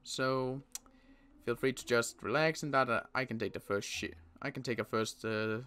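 A young man playing a protective survivor in an in-character roleplay, talking in a halting way with frequent restarts. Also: mid-range voice, fairly even intonation, pushed and bright, clean tone. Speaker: roleplay series player.

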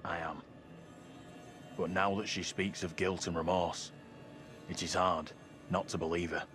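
An actor playing an earnest, troubled young colonial townsman, speaking formally and gravely in period drama dialogue. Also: low-pitched, flat delivery, unhurried, fairly bright tone, soft background music. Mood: anxious, conflicted. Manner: formal, grave, archaic phrasing.

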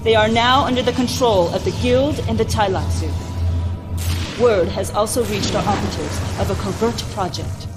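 An actress playing a cold, formal adviser in a science fiction game, briefing in a measured, clinical way. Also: female voice, medium pitch, natural voice. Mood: grave, clinical. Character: cold, formal woman adviser of a scheming merchant house. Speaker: mission briefing officer. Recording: music behind the voice.

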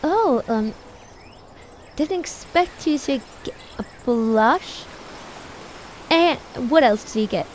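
A woman's voice: sits high, expressive, slow, long pauses, a little hiss or hum, voice pushed down. A woman voicing a bossy, dramatic young mom in an amateur cartoon family roleplay. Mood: scolding. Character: bossy, disapproving young mom of a little girl.

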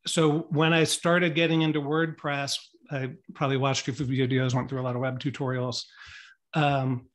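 A middle-aged man giving a casual screen-share talk at a meetup from a home room on a video call, relaxed and rambling with many ums and restarts. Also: mid-range voice, normal speed. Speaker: meetup presenter. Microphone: webcam on a video call.

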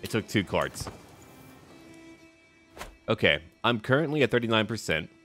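A man's voice: fairly low pitch, lively intonation, normal speed, fairly bright tone, faint music behind. A man hosting a roleplay strategy game video, musing aloud in short, clipped remarks. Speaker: gaming video host.

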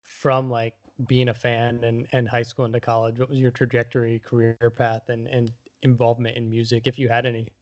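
A man's voice: mid-range voice, completely flat delivery, fairly quick, hardly any pauses, darkish tone, no room sound, dull audio. A man hosting a podcast, introducing and interviewing his guest. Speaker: podcast host.

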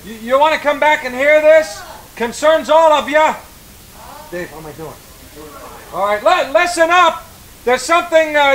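A man's voice: very high-pitched, a bit bright, exaggerated voice, poor audio. Plain-spoken male assistant manager for audio drama: worried, unpretentious, a little hesitant.

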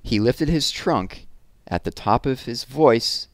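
A man in his thirties reading a children's story aloud expressively, with deliberate pauses and drawn-out words, on a headset mic. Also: mid-range voice, lively intonation, dry recording. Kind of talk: children's read-aloud narration. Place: small room at home, indoors.

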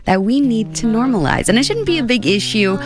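Female radio presenter, lively swooping intonation, speaking over a clear music bed. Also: fairly high pitch, fairly quick. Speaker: radio host. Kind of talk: radio presenting between songs. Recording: loud music under the voice.

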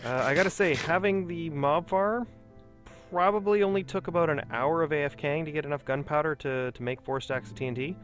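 A man's voice: a little high, a little fast, fairly bright tone, soft background music. A man casually commenting on his own gameplay, chatting to himself and explaining as he plays. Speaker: gaming video host.

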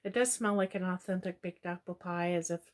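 A middle-aged woman talks casually to her webcam in a small, dim room at home. Her chat is relaxed and rambling, with a tired, slowing-down feel as she gives her opinions one after another. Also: lowish voice. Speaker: product reviewer. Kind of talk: casual product review chat.

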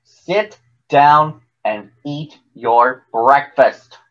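Storybook giant's wife, played by a male reader: practical, bossy, scolding, unhurried, on a slightly muffled recording. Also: medium pitch, expressive.

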